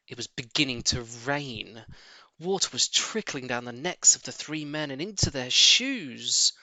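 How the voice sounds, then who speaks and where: A man narrates a children's storybook with lively, sweeping intonation. His voice is bright and projected, recorded very close and dry, with a theatrical storyteller's delivery. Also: medium pitch, a little slow, no room sound, low-quality recording. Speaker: audiobook reader.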